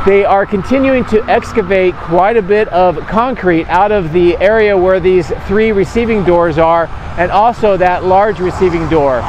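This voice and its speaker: A man in his fifties giving a measured, explanatory vlog update to camera outdoors. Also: sits high, muffled recording. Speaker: drone vlogger. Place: outdoors beside large industrial building site. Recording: clear outdoor site and vehicle noise. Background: vehicles, outdoor ambience.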